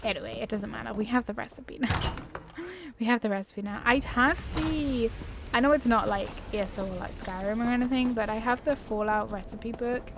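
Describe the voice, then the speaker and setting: A woman's voice: on the high side, moderate pace, gravelly, noisy background. A woman hosting a gaming video with casual commentary, chatty and giggly, musing to herself as she plays. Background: game music.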